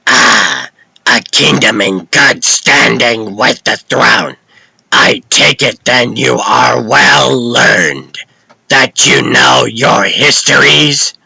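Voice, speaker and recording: A man playing a formal senior warrior in a comic dub, with a harsh gravelly rasp and pompous, ceremonial phrasing. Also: medium pitch, very slow, bright, forceful tone, no echo, heavily acted, low-quality recording. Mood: formal, lofty. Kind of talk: theatrical comic dub acting.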